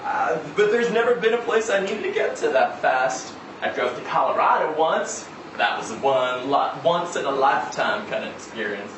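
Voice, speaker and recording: A man's voice: a little high, lively intonation, moderate pace, natural voice. A man voicing a curious, casual small-town woman truck driver in a story reading, a little hesitant. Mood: chatty. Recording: roomy, distant, noisy live event recording.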